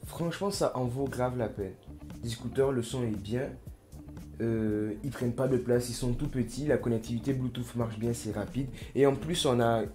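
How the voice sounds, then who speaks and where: A young man talks through an unboxing at a relaxed, middle pitch, musing aloud as he goes. His delivery is slangy and self-mocking. Clear background music runs under his voice the whole time. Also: normal speed. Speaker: YouTuber. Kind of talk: casual unboxing vlog.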